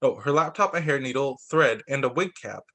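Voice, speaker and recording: A man reading a children's picture book aloud like a teacher at story time, expressive, with the odd stumble. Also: mid-range voice, fairly quick. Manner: expressive, voices the dialogue with slight shifts; stumbles and corrects himself while reading.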